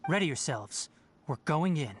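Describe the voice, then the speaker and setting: An actor playing a calm, cautious young forest ranger in a video game, speaking in a measured, instructive way. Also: male voice, fairly high pitch, close to an everyday voice. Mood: focused, serious. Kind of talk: scripted video game dialogue. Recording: game music behind the voice.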